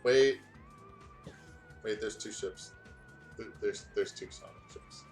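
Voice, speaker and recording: A man's voice: fairly low pitch, wildly swooping pitch, slow. A man hosting a let's play, casually reading fan fiction aloud with a goofy, giggly, stumbling delivery. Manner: giggly, goofy, often stumbling over what he reads. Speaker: host of a let's play channel. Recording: music playing behind the voice. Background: music.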